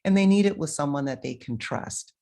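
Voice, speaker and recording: Deep-voiced motivational woman guest. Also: expressive, a little fast, dark tone. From United States. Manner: emphatic, motivational. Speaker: fitness and mind-body coach, guest. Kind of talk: casual interview talk.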